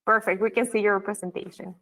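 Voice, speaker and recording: A woman's voice: mid-range voice, steady tone, fairly quick, pushed and bright. A woman moderating an online conference session, politely introducing speakers and confirming their screens. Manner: polite, reassuring.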